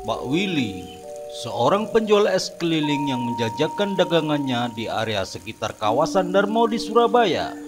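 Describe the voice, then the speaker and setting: A man narrating a horror cartoon story in a steady manner. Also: a little high, normal speed, music in the background.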